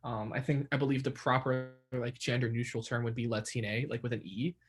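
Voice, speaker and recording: A man's voice: mid-range voice, level tone, brisk, slightly rough, soft background music. A young man from New York co-hosting a podcast, talking casually with many fillers and restarts. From New York, USA. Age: young adult. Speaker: podcast co-host. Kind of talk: casual chat.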